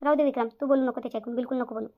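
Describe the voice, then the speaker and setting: A stern, self-pitying village mother-in-law from a melodramatic cartoon, played by a woman, nags in a high voice. She speaks extremely fast with a Vidarbha Marathi accent, piling up reproachful questions, and the sound is slightly dull and lo-fi. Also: steady tone. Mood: scolding, bitter. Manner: nagging, repetitive rhetorical questions. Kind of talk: melodramatic cartoon family drama. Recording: dull lo-fi audio.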